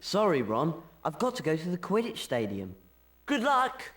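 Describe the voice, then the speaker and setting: High-pitched, lively schoolboy wizard. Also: male voice, moderate pace. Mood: defensive. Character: earnest, impatient schoolboy wizard.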